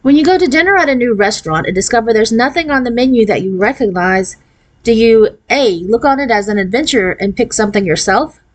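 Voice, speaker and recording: Woman voicing a brisk, sardonic ten-year-old girl. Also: fairly high pitch, animated, voice pushed up. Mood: brisk quizmaster. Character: Kate, brisk, sardonic fifth-grade girl, movie buff.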